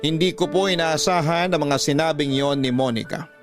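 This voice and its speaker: A man's voice: on the high side, moderate pace, music in the background, close to an everyday voice. A man with a Filipino accent reading a first-person love confession as dramatic radio narration. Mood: confiding, measured. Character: letter writer recounting a secret love affair. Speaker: storyteller reading a listener's letter.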